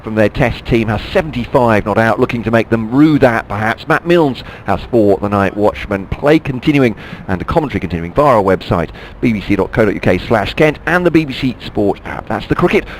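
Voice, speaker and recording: A man's voice: on the low side, lively intonation, a little fast, few pauses, slight background noise, poor audio. Jokey radio sport co-host, man. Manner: jokey, reads listener emails. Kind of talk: lively radio sport chat.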